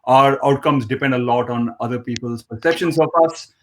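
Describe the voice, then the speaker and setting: A man hosts an interview in a friendly, affirming way. He thinks out loud as he builds his questions, with a few fillers along the way. His voice sits at an ordinary middle pitch. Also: normal speed. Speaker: host. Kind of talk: interview conversation.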